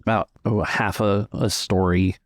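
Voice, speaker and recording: A man improvising scene descriptions as a game master, pausing to pick his words in a measured way. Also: fairly low pitch, flat delivery, no echo, clean studio sound. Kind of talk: improvised tabletop game narration.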